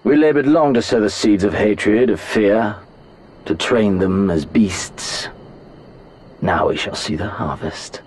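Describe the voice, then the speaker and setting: A man's voice: on the low side, slow, darkish tone, slightly rough. A man playing an arrogant, bored warlord prince who hunts for sport in a video game, speaking with haughty, theatrical, archaic diction. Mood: cold disdain. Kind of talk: dramatic video game voice acting.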